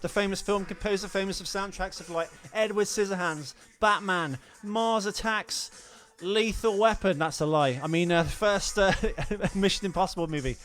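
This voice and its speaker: A man's voice: high-pitched, moderate pace, few pauses, a bit bright. A man hosting a comedic film podcast, chatting casually in a jokey, crude, rambling way. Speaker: podcast host.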